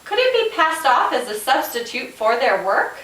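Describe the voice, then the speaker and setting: Woman as a high, lilting police officer puppet. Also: very animated, normal speed, pushed and bright, heavily acted. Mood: instructive. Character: helpful police officer puppet, guest classroom speaker. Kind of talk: scripted educational puppet show. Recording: roomy, distant microphone.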